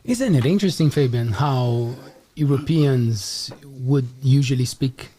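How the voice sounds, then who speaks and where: A man's voice: medium pitch, measured pace, dark tone, a little hiss or hum. A man hosting a casual interview who asks friendly questions and sometimes stumbles at the start. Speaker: podcast host.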